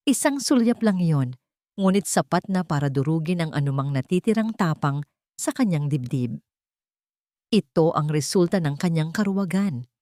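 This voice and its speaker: A Filipino woman tells a dramatic love story in a fairly low voice. Her delivery is solemn and emotive, with deliberate phrasing. The recording is dry and close, free of room sound. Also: darkish tone, dry recording. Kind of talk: dramatic scripted romance narration.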